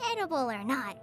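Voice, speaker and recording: Teenage game heroine, very high-pitched, animated intonation, shy, earnest, stammering, easily flustered forest ranger. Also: female voice, full character voice. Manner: hesitant, stammering, apologetic. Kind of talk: video game character voice lines. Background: background music.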